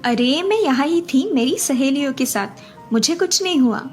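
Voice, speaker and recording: A woman's voice: sits high, animated, normal speed, faint music behind. A woman voicing a touchy young college girl, the younger sister in a cartoon story. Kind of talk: dramatised Hindi cartoon story dialogue.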